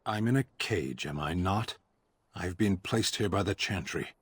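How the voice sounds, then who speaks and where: A man's voice: sits low, animated, unhurried, husky, no room sound, full character voice. A man voicing a stoic, proud giant warrior, terse, grave and formal, in a fantasy game. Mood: curt, dismissive.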